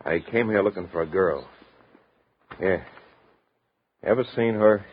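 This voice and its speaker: An American actor playing a moody, sardonic, lovesick sketch artist with an obsessive streak, clipped in delivery, in a 1950s radio drama. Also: male voice, sits low, unhurried, a little hiss or hum. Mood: intense, obsessive. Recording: dull, muffled vintage radio recording.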